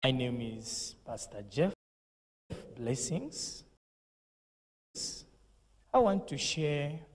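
A man's voice: medium pitch, in a large hall. A young man, a pastor, giving a solemn condolence message and prayer into a handheld mic in a studio. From Zambia. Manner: slow, solemn delivery with preacher's emphasis. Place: indoor studio set with purple lighting.

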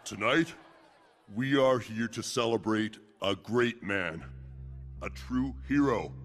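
An actor playing a solemn rebel warrior in a video game, giving measured heroic oratory. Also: male voice, mid-range voice, a bit bright, soft background music. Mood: solemn, reverent. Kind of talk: video game cutscene acting.

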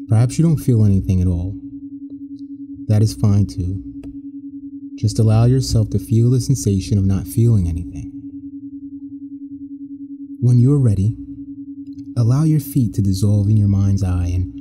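A man gently and soothingly narrating a scripted guided meditation in measured phrases with frequent pauses. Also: on the low side, noisy background. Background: prominent meditation music with singing bowls.

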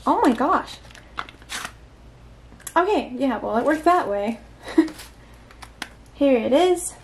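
A woman with a high voice chats casually to herself through an unboxing, prone to giggles and small exclamations. She is recorded indoors at a tabletop, with faint noise behind her. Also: a little mellow. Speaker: unboxing vlogger.

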